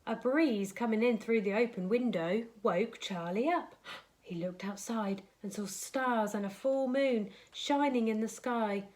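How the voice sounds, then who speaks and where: A woman's voice: on the high side, unhurried, darkish tone, low-quality recording. A woman reading a children's bedtime story aloud, expressively dramatising it for young listeners.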